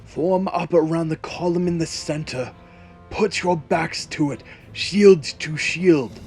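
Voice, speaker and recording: A man's voice: on the high side, slow, darkish tone, soft background music, voice pushed up. A man voicing commanding, resolute soldiers of an ancient Greek fantasy in an audio drama, declaiming urgent orders.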